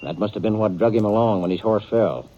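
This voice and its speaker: An actor playing a matter-of-fact American frontier sheriff in a 1950s radio western, explaining things in a folksy, unhurried manner. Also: male voice, lowish voice, close to an everyday voice. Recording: muffled, hissy 1950s radio recording.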